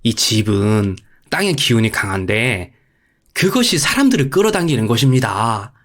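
A sly, money-minded monk from a folktale, acted by a male storyteller. He advises in a knowing, insinuating manner, his middle-pitched voice moving about with lively intonation. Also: moderate pace. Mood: sly advising.

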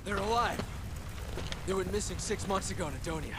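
A man's voice: sits high, lively intonation, a little fast, very noisy. A man acting as an earnest young American soldier in a cinematic video game, urgent and military in his address. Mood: tense, dutiful. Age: young adult. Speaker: soldier, second-in-command. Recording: dramatic music under the voice. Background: loud cinematic score.

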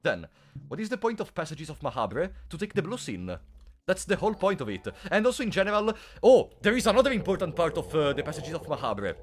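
Lively man streaming a game. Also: a little high. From Italy. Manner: chatty, playful, talks to chat. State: sore throat. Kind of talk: casual live-stream commentary.

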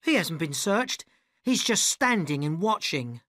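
A reader voicing a suspicious, confrontational young woman who speaks in a high, accusing tone. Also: very animated, measured pace, pushed and bright, dry recording, studio quality. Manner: shrill, accusing, high pitched. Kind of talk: audiobook character voice.

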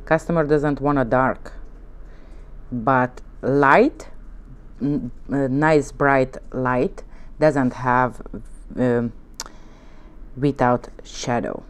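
Slow, gentle Hungarian-accented woman teaching. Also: extremely low pitch, clear, resonant tone. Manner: slow, gentle, coaxing instructions with frequent "okay?". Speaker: nail art instructor. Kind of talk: relaxed tutorial narration while working. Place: indoor nail studio desk, hands on camera from above.

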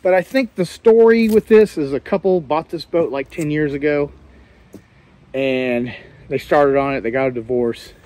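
A man's voice: a little high, moderate pace, darkish tone. Plainspoken man rambling through a boatyard. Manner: plainspoken, rambling, trails off and restarts. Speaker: sailing vlogger. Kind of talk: casual walkthrough commentary. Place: outdoors in a boatyard, walking around boats. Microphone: handheld camera, selfie framing.

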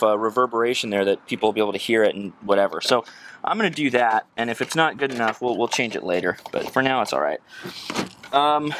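A man in his thirties talks through a hands-on job in a casual, medium-pitched voice. He thinks aloud as he works. The camera mic picks up outdoor ambient noise around him. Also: fairly bright tone. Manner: casual, chuckling, thinking aloud while working. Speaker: DIY presenter. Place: outdoors at an open car. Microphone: camera set near the work.

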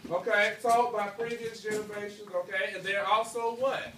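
A man's voice: sits high, flat delivery, normal speed, pushed and bright. Male teacher, classroom read-aloud, checks in with pupils often, roomy echo, recorded from a distance with background noise. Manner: checks understanding often, tagging phrases with okay. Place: school classroom, indoors. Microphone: phone held by someone across the room. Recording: echoey noisy classroom from a distance, phone recording.

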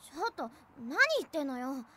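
A woman's voice: high voice, expressive, moderate pace. A woman playing a hot-tempered but devoted teenage catgirl in an anime audio drama, scolding and flustered. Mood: alarmed, scolding. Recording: music behind the voice.

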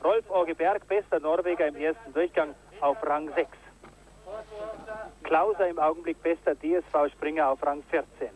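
A man giving live commentary on a ski jumping event, getting excited on big jumps, from an old broadcast tape. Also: a little high, a little slow, poor audio. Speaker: sports commentator.

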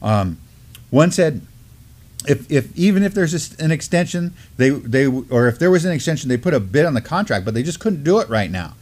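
A middle-aged man co-hosting a news show, reading and discussing articles in an informal, halting way. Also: a little high, big pitch swings, darkish tone. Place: indoor studio at a desk.

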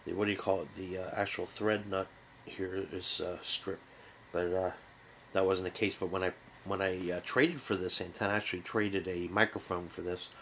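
A man hosting a hobby show, giving a casual product overview in a halting, rambling, unscripted way. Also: low voice, little pitch movement, vocal fry, fairly bright tone, slight background noise, poor audio. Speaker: host of a hobby radio show. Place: small bedroom, indoors.

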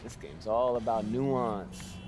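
A man's voice: lowish voice, slow pace, background noise. A man playing a proud, teasing father and basketball star in a video game, with a cocky manner. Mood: teasing, lecturing. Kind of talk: cinematic video game acting.

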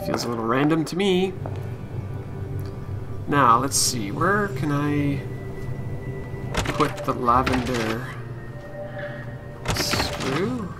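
A man's voice: medium pitch, expressive, extremely slow, pauses often. A man giving casual live let's play commentary, musing aloud to himself and his viewers. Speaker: game streamer. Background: game music.

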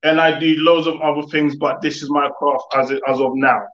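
A young man telling his story as an interview guest, in a casual, reflective way with halting phrasing. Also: fairly high pitch, steady tone, a bit bright. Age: young adult. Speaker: guest, music producer. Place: living room, video call. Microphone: video call. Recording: echoey room, dull video-call audio.

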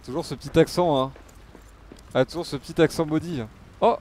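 A man's voice: fairly high pitch, steady tone, fairly quick, darkish tone, slight background noise. A man giving casual live game commentary, muttering to himself and swearing freely. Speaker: game streamer.

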